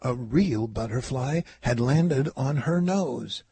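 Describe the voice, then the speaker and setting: A man's voice: mid-range voice, lively intonation, slow pace, mellow, slight background noise. A man narrating a children's story as a read-aloud. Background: music.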